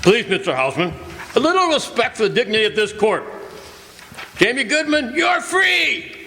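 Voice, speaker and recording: A man's voice: very high voice, very animated, moderate pace, sharp tone, long reverb tail, slight background noise, heavily acted. A man playing a stern, dignified judge with formal, declamatory delivery in a radio drama. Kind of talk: radio courtroom drama acting.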